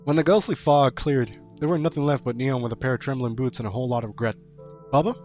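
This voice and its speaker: A man's voice: mid-range voice, a little mellow, soft background music, natural voice. A man voicing a folksy rural storyteller, spinning a playful spooky tall tale read aloud. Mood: folksy, animated. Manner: folksy, playful, drawn-out similes.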